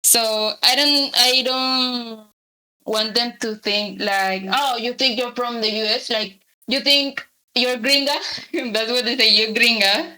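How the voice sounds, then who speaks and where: A young woman with a Spanish accent, a native Spanish speaker from Latin America, chatting hesitantly as a guest artist in an interview, with many pauses and fillers. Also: fairly high pitch, fairly even intonation, bright, forceful tone, poor audio. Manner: hesitant, many ums, laughs lightly. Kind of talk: casual interview chat.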